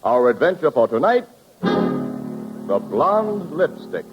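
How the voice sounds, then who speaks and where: A man's voice: on the high side, big pitch swings, takes it slowly, loud music behind the voice. A man announcing on a 1940s radio show, reading a script with a polished, emphatic delivery on a muffled old recording.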